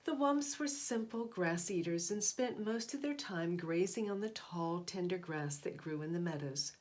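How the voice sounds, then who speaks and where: A woman's voice: fairly low pitch, moderate pace, a little mellow, slight background noise. A woman reading a picture book aloud to children, stressing key words expressively and speaking aside to her listeners. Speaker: volunteer picture-book reader.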